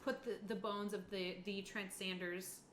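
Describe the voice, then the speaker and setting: A woman with a mid-pitched voice runs a tabletop game, narrating in a casual, explanatory way. The room adds clear echo and there is some background noise. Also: little pitch movement, a little slow, a bit bright. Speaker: game master (Keeper) of a tabletop game.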